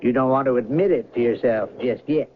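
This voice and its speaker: An actor playing a calm, knowing train official in a 1970s radio drama, cryptic, teasing and matter-of-fact. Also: male voice, mid-range voice, a little fast, phone-quality sound, natural voice. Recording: thin band-limited vintage radio recording, hissy.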